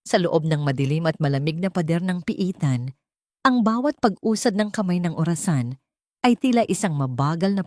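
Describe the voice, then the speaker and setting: A woman narrating a scripted romantic drama story in a deliberate, sentimental way. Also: on the low side, darkish tone, dry recording, studio quality.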